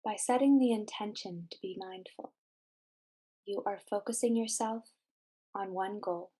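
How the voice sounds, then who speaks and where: A woman narrating a scripted guided meditation in a measured, deliberate, instructional way. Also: mid-range voice, mellow, slightly rough.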